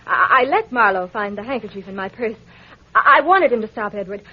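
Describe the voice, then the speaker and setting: An actress playing a scheming, seductive femme fatale in a vintage radio melodrama, theatrical and coaxing. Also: female voice, mid-range voice, lively intonation, fairly quick, breathy edge. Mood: pleading. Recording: muffled vintage radio recording, faint noise.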